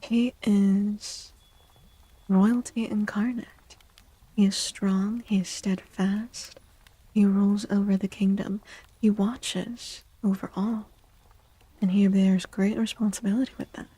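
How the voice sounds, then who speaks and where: A woman reading tarot in a soft-spoken ASMR style, gentle and deliberate, often close to a whisper, with pauses and repeated phrases. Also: mid-range voice, fairly even intonation, husky, no echo, poor audio. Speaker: streamer, tarot reader.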